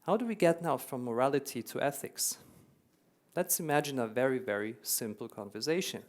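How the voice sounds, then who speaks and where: A man with a German accent delivers a keynote to a packed conference hall. His voice sits at a middling pitch, and he explains ideas earnestly, often doubling a word to stress it. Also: lively intonation, fairly quick. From Hungary, raised in Germany. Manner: earnest, explanatory, repeats words for emphasis. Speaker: keynote speaker.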